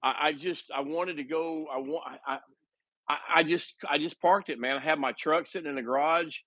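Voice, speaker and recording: A man's voice: a little high, fairly even intonation, no echo, dull audio. A man in his fifties, a guest making casual conversation, who often restarts his sentences and jokes at his own expense. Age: 50s. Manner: halting, frequent restarts; self-mocking humor.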